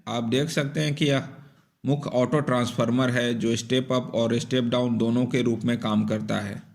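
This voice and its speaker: A man narrates a technical repair tutorial from a script. His voice sits at a medium pitch, and he explains each part methodically, one step after another. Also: fairly even intonation, normal speed, creaky.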